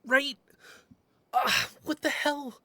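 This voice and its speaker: An actor playing a shaken, suspicious man in an audio drama, speaking haltingly and with exasperation. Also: very high voice, fairly even intonation, bright, forceful tone, no echo, heavily acted. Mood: alarmed, insistent. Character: Greg, shaken, suspicious man unnerved by strange events.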